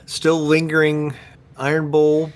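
A man's voice: on the high side, completely flat delivery, slow pace. A man co-hosting a sports podcast, teasing his partner with dry humor. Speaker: podcast co-host. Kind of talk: casual sports podcast chat.

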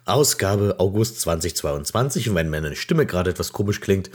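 A man with a husky, slightly croaky voice from a cold, sitting low in his register. He chats playfully and loosely as a podcast host, dropping fillers and jokes along the way. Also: expressive, normal speed, darkish tone. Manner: chatty, playful, many fillers. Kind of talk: casual podcast talk.

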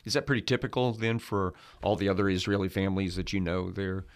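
A man's voice: sits low, fairly even intonation, fast. A man hosting a Bible teaching program, welcoming listeners and interviewing a guest in a studio, with many fillers as he talks. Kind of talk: hosted interview.

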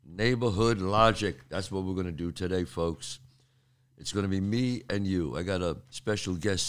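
An older man hosting his show, talking casually and streetwise with a cold in his throat. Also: low voice. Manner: streetwise, chatty, repeats himself. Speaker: podcast host. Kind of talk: casual monologue to listeners.

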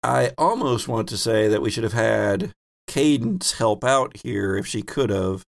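A man's voice: on the low side, a little slow, vocal fry. A man hosting a tabletop game as game master in a casual, hesitant, playful way.

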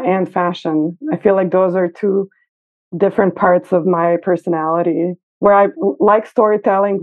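Phone-like Serbian woman, lowish voice. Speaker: podcast guest, costume designer and educator. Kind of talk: conversational interview. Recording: narrow, phone-like internet call sound.

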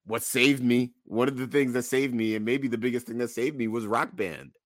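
A man's voice: mid-range voice, moderate pace, no echo, studio quality. A man co-hosting a podcast in casual conversation, speaking emphatically and repeating phrases for emphasis. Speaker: podcast co-host.

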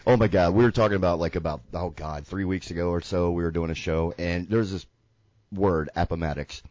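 Man, Southern, radio host, deep voice, laid-back rambling banter, stuffy nose, dull dry studio sound. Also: steady tone, brisk, a little mellow, dry recording. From American South. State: congested from sinuses. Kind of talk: casual radio talk show banter.

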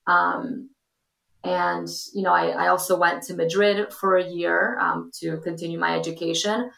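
A woman's voice: on the low side, steady tone, measured pace, vocal fry, fairly bright tone. A young adult woman from Portugal, a podcast guest talking casually and filling her speech with ums and you-knows. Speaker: guest, influencer. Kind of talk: casual interview talk. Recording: echoey room.

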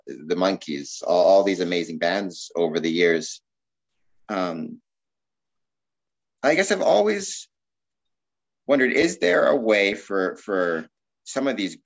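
A man hosting a conversational interview, phrasing his questions in a rambling, hesitant way. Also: deep voice. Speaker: podcast host interviewing guests.

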